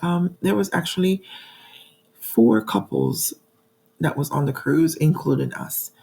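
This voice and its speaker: Hoarse, gravelly-voiced woman vlogger. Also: lowish voice, animated, unhurried, warm tone, low-quality recording. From New York. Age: 40s. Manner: chatty, addresses viewers directly, frequent ums. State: hoarse from a cold. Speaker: budgeting channel host. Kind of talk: casual talking-to-camera vlog.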